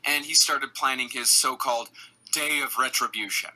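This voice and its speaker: A man's voice: mid-range voice, moderate pace, sharp tone, low-quality recording. A man hosting a podcast, telling true-crime stories casually and comedically, with emphatic phrasing. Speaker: podcast host.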